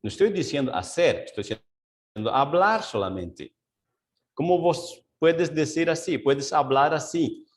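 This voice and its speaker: A man leading a Bible study over a video call as pastor, mixing Portuguese with Spanish, slow and searching, with many fillers and asides. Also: fairly high pitch, lively intonation.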